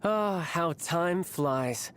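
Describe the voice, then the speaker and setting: A man's voice: a little high, expressive, very slow, a bit bright, slight background noise, exaggerated voice. An actor voicing a cocky, playful, battle-hungry young male warrior for a video game, with a breezy, boastful, theatrical delivery. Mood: playful, advising. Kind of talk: video game character voice lines. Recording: music behind the voice.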